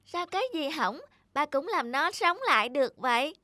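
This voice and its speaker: A woman voicing an eager, admiring little boy in a cartoon, with an excited childlike manner. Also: high voice, moderate pace, no echo, studio quality. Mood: delighted. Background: background music.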